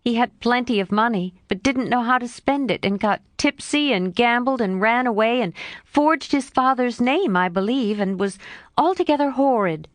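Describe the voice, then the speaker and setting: A woman doing a lively, earnest young girl. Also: a little high, normal speed, no echo, clean studio sound, pitched above the performer's own voice, close to an everyday voice. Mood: pleading. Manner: eager, scolding, rising and bright.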